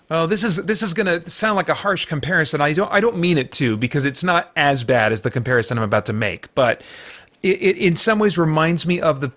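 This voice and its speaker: Casting: American man, slightly high voice, hosting an off-the-cuff review, halting delivery that wanders as he thinks out loud. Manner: halting, rambling, thinking aloud. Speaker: review show host. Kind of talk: casual unscripted film review.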